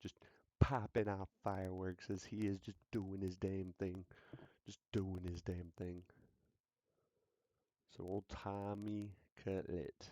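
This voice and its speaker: A man's voice: sits low, level tone, slow, pauses often, mellow, no echo. A man hosting a casual sports talk show, joking and hyped, repeating his lines for effect. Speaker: sports podcast host.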